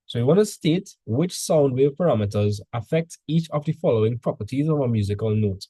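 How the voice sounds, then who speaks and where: A man's voice: medium pitch, expressive, fairly quick, dark tone, slightly rough, no room sound. A male teacher tutoring students in a casual session, full of "like" fillers, quizzing them with questions as he goes.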